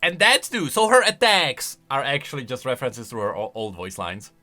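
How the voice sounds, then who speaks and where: A man's voice: mid-range voice, expressive, normal speed, sharp tone. A man giving casual, conversational reaction commentary on video game lore, musing aloud as he goes.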